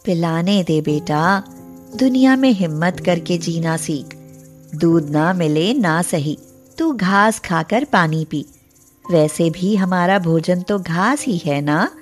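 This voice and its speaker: Woman as a slow, gentle mother cow spirit. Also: on the low side, animated, faint music behind. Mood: tender, consoling. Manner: consoling, earnest. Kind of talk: Hindi animated moral story acting.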